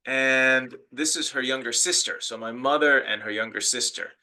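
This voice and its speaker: Earnest American man with a bright voice. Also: medium pitch, moderate pace, poor audio. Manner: earnest storytelling with fillers and restarts. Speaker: academic speaker. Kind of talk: online lecture.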